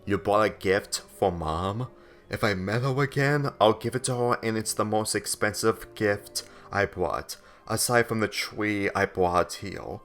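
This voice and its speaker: A man's voice: fairly low pitch, unhurried, fairly bright tone, soft background music. A man voicing an earnest, wistful middle-aged father in a story reading, speaking plainly.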